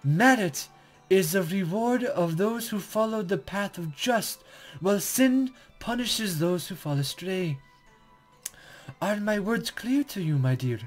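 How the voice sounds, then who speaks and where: A man's voice: high-pitched, lively intonation, slow pace, soft background music, exaggerated voice. A man voicing a playful, smug female spirit guide who speaks in formal, cryptic, teasing phrases in a visual novel read-aloud. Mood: teasing, instructive. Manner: archaic, formal, teasing, condescending.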